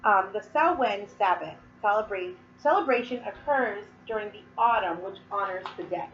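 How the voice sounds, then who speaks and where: A woman's voice: medium pitch, animated, moderate pace, a bit bright, distant mic, dull audio, slight background noise. Chatty sick woman in an echoey room. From Massachusetts. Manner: chatty, rambling with many fillers. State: sick, sniffling and coughing. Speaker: Wiccan teacher. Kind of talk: casual explanatory talk to camera.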